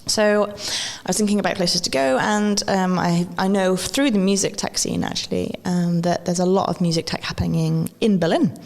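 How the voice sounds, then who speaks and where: A woman from Wales, a guest in a relaxed interview, chatty, laughing often and filling her talk with ums and restarts. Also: lowish voice, moderate pace, creaky, hardly any pauses, in a large hall. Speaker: guest, maker and educator. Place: event venue at a festival.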